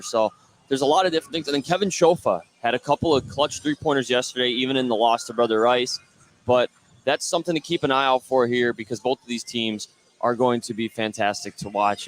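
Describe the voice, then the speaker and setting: A man's voice: mid-range voice, a little hiss or hum. A man working as a play-by-play sportscaster, giving live basketball commentary. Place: indoor high school gym.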